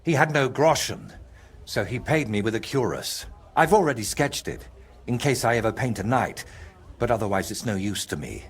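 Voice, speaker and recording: An actor playing a troubled, anxious painter in a video game, speaking haltingly and drifting into mutters. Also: male voice, medium pitch, lively intonation, breathy edge. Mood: upbeat, distracted. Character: troubled, haunted male painter, anxious and distracted. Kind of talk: naturalistic video game acting.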